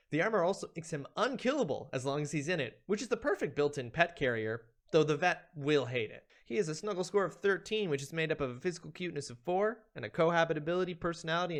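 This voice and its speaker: A man hosting a stream, giving a playful, theatrical reading of a comedic ranked list with emphatic delivery. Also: a little high. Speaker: streamer, host.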